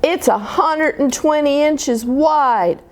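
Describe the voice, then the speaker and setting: A woman voicing a little neighbour girl in a children's storybook, with a drawn-out, singsong way of talking. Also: high-pitched, pitched above the performer's own voice. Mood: boastful. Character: little neighbour girl, easily bored, then wonderstruck and giggly.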